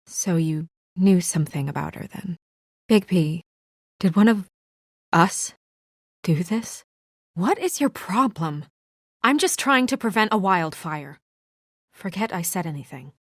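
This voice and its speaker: A woman voice actor playing an earnest, composed young woman official in a video game, speaking in a measured, serious, restrained way. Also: on the low side, animated, dry recording, studio quality. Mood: tense, pleading. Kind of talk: naturalistic video game dialogue.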